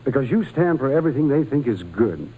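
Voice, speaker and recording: Religious brother and mentor: husky, lively intonation, kindly, encouraging, old radio play. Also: male voice, mid-range voice, brisk, rough recording. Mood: gentle, encouraging. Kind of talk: old-time radio drama acting.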